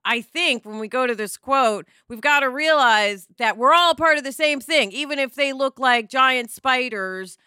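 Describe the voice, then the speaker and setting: Bright, clear, higher-voiced woman. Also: moderate pace, few pauses, no echo, studio quality. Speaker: podcast panelist. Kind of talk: casual, animated panel chat.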